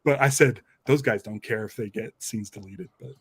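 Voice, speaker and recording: A man's voice: on the low side, moderate pace, slightly rough, no echo. Rambling man in casual podcast chat. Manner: rambling, repeats and restarts phrases. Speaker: podcast host and documentary maker.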